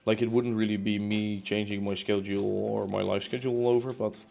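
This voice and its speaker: A man's voice: on the low side, little pitch movement, a little fast, gravelly, darkish tone, soft background music. A young man streaming from his apartment as he builds in a game, chatting casually and rambling with lots of fillers and restarts. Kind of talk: casual chat while building. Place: home room in a concrete apartment.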